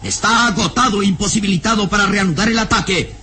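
An actor as the narrator of an adventure radio serial, delivering scripted drama with emphatic suspense. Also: male voice, high-pitched, fast, a little hiss or hum. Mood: dramatic. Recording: old radio serial, loud music bed.

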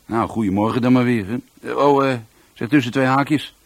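A man playing a grumpy, sardonic market trader on the telephone in a Dutch radio play, deadpan and laconic. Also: medium pitch, moderate pace, dry recording, lightly acted.

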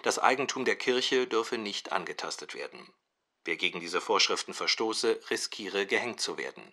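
A man's voice: fairly low pitch, expressive, moderate pace, pushed and bright, heavily acted. A man playing a formal newsreader giving war bulletins in a radio play.

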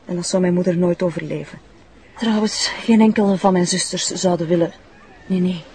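A woman's voice: fairly low pitch, brisk, a little mellow, lightly acted. A woman playing a bitter, defiant young runaway addict in a TV drama, with a Flemish accent and a coarse, contemptuous way of talking. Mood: scornful, hard. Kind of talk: naturalistic TV drama acting.

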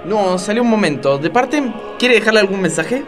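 An Argentine actor playing a bumbling, bossy detective boss in a comedic radio play. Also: male voice, sits high, expressive, moderate pace, music in the background. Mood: flustered.

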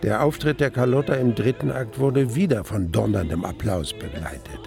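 A male actor playing an emotional, horrified witness at the opera, narrating a radio drama in a gravelly voice with deliberate, theatrical emphasis. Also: medium pitch, lively intonation, over music. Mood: appalled, incredulous.

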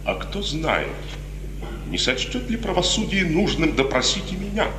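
A man's voice: a little high, sing-song, normal speed. An actor playing a proud, anguished father, intense and bitterly ironic, in 1950s theatrical radio style. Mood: bitterly suspicious. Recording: echoing hall, noisy old radio recording.

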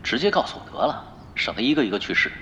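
A man's voice: medium pitch, sing-song, very fast, highs cut off, background noise, lightly acted. A man playing a laid-back, shameless pro gamer in an audio drama, casual and teasing. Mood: sly. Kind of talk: Chinese audio drama acting.

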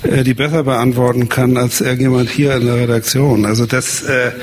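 A man's voice: mid-range voice, steady tone, fairly quick, slight background noise. A man on a discussion panel making a casual, matter-of-fact remark to the audience. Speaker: panelist from the editorial group. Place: meeting room with an audience.

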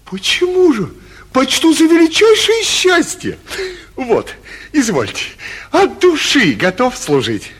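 Husky, fawning postmaster, wildly swooping. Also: male voice, very high voice, huge pitch swings, slow, fairly bright tone, full character voice. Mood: eager, servile. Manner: obsequious, clipped agreement. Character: fawning, eager provincial postmaster, deferential official. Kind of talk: classic Russian stage comedy acting. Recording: muffled, raspy 1949 radio theatre recording.

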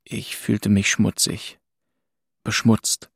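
A man's voice: on the low side, completely flat delivery, slow, gravelly, fairly bright tone, breathy edge, no room sound, clean studio sound, exaggerated voice. An actor playing a weary, bitter young man who narrates his own story in an audio drama. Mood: subdued narration. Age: young adult.

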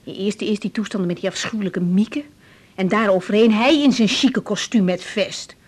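A woman playing a young, harried doctor in a radio drama, expressive and full of questions, emotional and insistent. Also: mid-range voice, moderate pace, natural voice. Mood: upset, bitter. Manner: expressive, questioning, stammers when upset. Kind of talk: 1980s radio drama acting.

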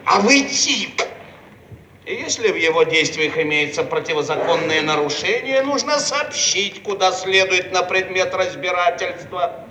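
An actor playing a pompous, self-satisfied Soviet bureaucrat who speaks officiously, in a theatrical radio comedy style. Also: male voice, sits high, lively intonation, unhurried, a crowd in the background. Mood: indignant. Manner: pompous, hesitant, bureaucratic phrasing. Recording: echoey, distant archive radio recording, faint noise.

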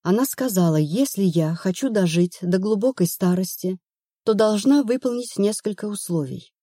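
A woman's voice: mid-range voice, animated, moderate pace, mellow, dry recording, clean studio sound, natural voice. A woman narrator playing an anxious, stubborn woman of thirty-one in an audiobook, plaintive and earnest. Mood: uneasy confiding.

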